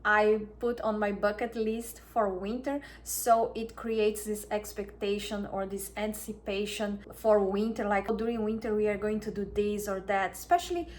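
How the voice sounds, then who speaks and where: A woman from Brazil with a Brazilian Portuguese accent, chatting to camera as a wellness vlogger, soft and unhurried, with frequent restarts. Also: medium pitch, steady tone. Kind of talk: casual talk to camera.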